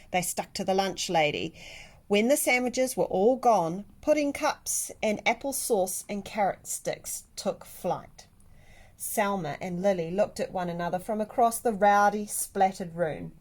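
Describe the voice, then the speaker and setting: A woman reading a children's picture book aloud like a teacher, with deliberate, expressive emphasis. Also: medium pitch. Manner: animated, deliberate, with dramatic emphasis.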